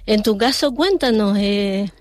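A woman hosting a radio interview. She speaks gently and reassuringly, with many pauses and restarts. The studio sound is close and dry. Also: mid-range voice, lively intonation, no echo. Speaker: radio host.

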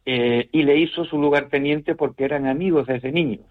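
A man, a novelist from the Canary Islands, answering radio interview questions in a measured, thoughtful way with frequent hesitations and tag questions. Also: fairly high pitch. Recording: muffled radio broadcast.

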